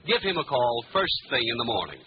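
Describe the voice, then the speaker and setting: A man's voice: medium pitch, big pitch swings, brisk. A man announcing in scripted 1940s radio style, formal and polished like a salesman. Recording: muffled old radio, music behind.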